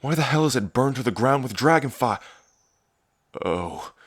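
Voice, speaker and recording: A man's voice: expressive, normal speed, breathy edge, dry recording, clean studio sound. A man playing a cocky, teasing dragon prince and protective big brother in an ASMR audio drama. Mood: alarmed, angry. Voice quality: deep, low. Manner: smug, flirtatious, teasing, sweary.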